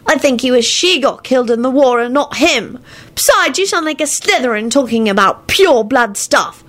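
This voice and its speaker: A woman's voice: sits high, expressive, normal speed, a bit bright, pitched above the performer's own voice. A woman voicing a sulky, cheeky young wizard boy in a storybook, blurting things out in a childish whine. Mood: exasperated.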